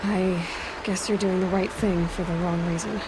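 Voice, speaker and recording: An actress playing a young huntress heroine in a video game, speaking in a measured, direct, questioning and matter-of-fact way. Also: female voice, on the low side, steady tone, noisy background, lightly acted. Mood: wary. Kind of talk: video game character acting.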